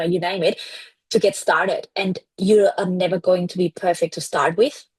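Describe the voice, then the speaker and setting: Woman, from Austria, English speaker, breathy tone, blunt and animated, dry sound. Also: fairly low pitch, no room sound. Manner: frank, animated, laughs often. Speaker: podcast guest, brand strategist. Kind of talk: casual interview chat.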